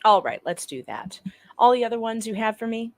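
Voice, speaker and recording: A woman's voice: a little high, sing-song, brisk, no echo. Woman reading courtroom dialogue, dry close sound. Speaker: panelist reading court transcript parts.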